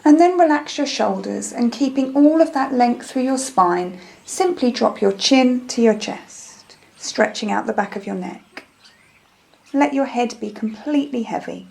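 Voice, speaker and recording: Soothing woman, soft and creaky, guiding meditation. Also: on the high side, animated. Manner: soothing, gentle, unhurried instruction with soft breathy phrase endings. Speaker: meditation guide. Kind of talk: guided meditation.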